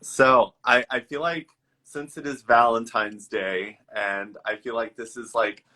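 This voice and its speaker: A man's voice: medium pitch, fairly even intonation, unhurried, bright, forceful tone. A man from Virginia hosting a casual interview over a video call, friendly and upbeat. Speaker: podcast host.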